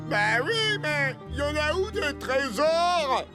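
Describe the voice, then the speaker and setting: An actor voicing a boisterous, childish young ogre in a children's cartoon, whiny, boastful and excitable, with music behind. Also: high-pitched, slow, heavily acted. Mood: uneasy, questioning.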